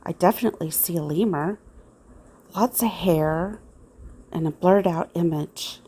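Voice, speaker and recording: A woman's voice: on the low side, a little slow, dark tone, husky. A woman playing an earnest, suspicious private investigator in an old-time radio style drama. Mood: measured, descriptive. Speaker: investigator in an amateur audio drama.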